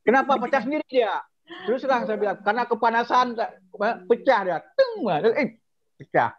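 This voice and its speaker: Higher-pitched man, slightly muffled, explaining informally. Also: sing-song, normal speed. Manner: repeats words for emphasis. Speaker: organization chairman answering questions. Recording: slightly dull online call.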